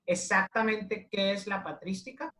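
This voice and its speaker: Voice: mid-range voice, measured pace, bright, forceful tone. An interviewer asking polite, formal questions. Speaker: interviewer host. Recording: roomy echo.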